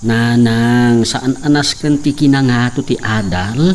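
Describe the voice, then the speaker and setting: A man's voice: mid-range voice, slow, natural voice. A man with an Ilocano accent playing an earnest young villager set on leaving for the city. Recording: distant mic with faint noise. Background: crickets and insects.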